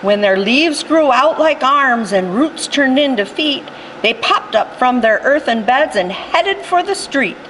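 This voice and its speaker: A woman voicing a gentle old grandfather telling a folksy tale. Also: sits high, expressive, measured pace, a little hiss or hum, low-quality recording.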